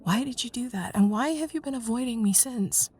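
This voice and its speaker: A woman playing a young student in love with her best friend, in an intimate ASMR roleplay, speaking softly and hesitantly, often near a whisper. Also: fairly high pitch, expressive, a little fast. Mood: hurt, confused. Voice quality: soft breathy, husky, often near whisper. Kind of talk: intimate ASMR roleplay, one side of a dialogue.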